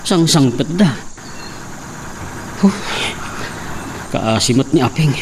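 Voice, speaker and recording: An earnest young village son, played by a young man in a homemade drama, telling his story plainly at a middle pitch. The mic is well away from him, and insects chirp clearly behind. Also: expressive, slow pace, distant mic, noisy background. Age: young adult. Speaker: son, narrator. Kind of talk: amateur drama acting and narration.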